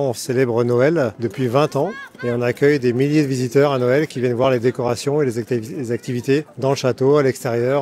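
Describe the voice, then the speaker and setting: A man's voice: mid-range voice, darkish tone. A man with an accent of France, speaking off the cuff as a guest introducing his place. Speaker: castle owner, guest. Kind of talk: spontaneous introduction to camera.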